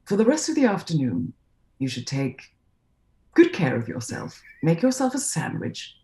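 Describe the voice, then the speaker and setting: A woman's voice: lowish voice, wildly swooping pitch, normal speed, dark tone, roomy sound. A woman playing a polite, formal, overly courteous character from a video game. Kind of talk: re-enacting video game lines.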